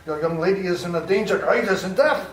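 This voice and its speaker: A man playing an anxious elderly professor and doctor in a live radio play, speaking in halting, formal phrases. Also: sits high, slight background noise, close to an everyday voice. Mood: urgent. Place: library hall with live audience. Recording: roomy hall sound from far off.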